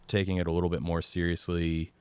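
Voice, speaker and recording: Man in his 30s from texas, very deep voice, smooth and clear, easygoing guest, self-deprecating. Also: monotone, fast talker, a little mellow, dry recording. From suburbs of Dallas, Texas. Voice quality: low voice. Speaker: singer-songwriter guest. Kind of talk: casual conversation.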